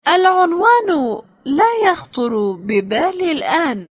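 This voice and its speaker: Lively high-voiced woman reading phrases. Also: no echo, clean studio sound. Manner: slow, clearly spaced phrases. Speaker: phrasebook reader.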